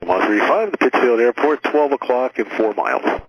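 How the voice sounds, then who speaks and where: A man working as an air traffic controller, giving halting, procedural instructions over aviation radio. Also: medium pitch, animated, no echo, muffled recording. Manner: halting, procedural phrasing with frequent hesitations.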